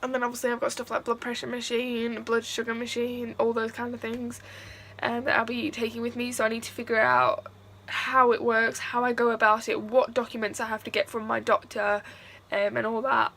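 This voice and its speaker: Young Mancunian woman with a gravelly voice. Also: on the high side, level tone, a little fast, pushed and bright, slight background noise. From England. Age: young adult. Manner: chatty, rambling, many fillers. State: stuffy-nosed after crying. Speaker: vlogger. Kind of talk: casual vlog talk to camera.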